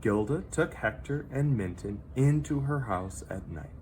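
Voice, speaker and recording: A man reads a children's story aloud over noticeable background noise. His delivery is slow and dramatic, with very lively pitch movement and pauses for effect. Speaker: teacher reading a picture book aloud.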